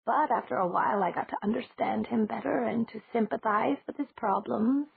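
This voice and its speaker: Woman doing a gentle batty old witch. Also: a little high, creaky, slightly rough, voice pushed up, heavily acted. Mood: gentle, rambling. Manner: slow, gentle and rambling. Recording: dry, tinny phone-like sound.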